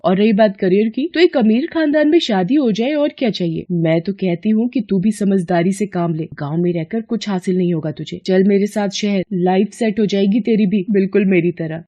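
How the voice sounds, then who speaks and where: A woman's voice: medium pitch, animated, moderate pace, few pauses, dark tone, muffled recording, lightly acted. A woman voicing a vain, ambitious young elder sister in a Hindi cartoon story, with a dismissive, bossy, slangy way of talking. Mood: scornful, defiant. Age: young adult.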